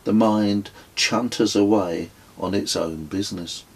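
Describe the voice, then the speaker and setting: A man reading his own travel memoir as an audiobook, with a husky voice and measured, deliberate storytelling. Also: on the low side. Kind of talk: scripted audiobook narration.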